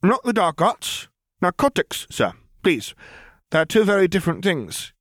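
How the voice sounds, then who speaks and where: Audiobook role: brash young female witch crime boss, played by a man, pitched well up, glib, wry and taunting. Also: very animated, a little slow, a bit bright, dry recording, clean studio sound, exaggerated voice. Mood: exasperated. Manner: glib, mock-polite, theatrical taunting. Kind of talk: acted dialogue in fan fiction audiobook.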